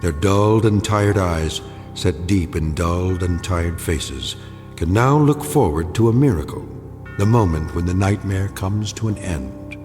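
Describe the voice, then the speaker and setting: An American actor playing a solemn, ominous narrator of a radio drama, with deliberate phrasing. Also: male voice, low-pitched. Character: grave, ominous omniscient storyteller of eerie war tales. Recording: long reverb, music underneath.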